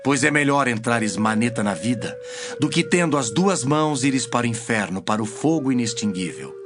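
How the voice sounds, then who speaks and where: Guy acting solemn authoritative teacher in a bible audio drama, brazilian accent, measured, music behind. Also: mid-range voice, animated, natural voice. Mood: earnest warning.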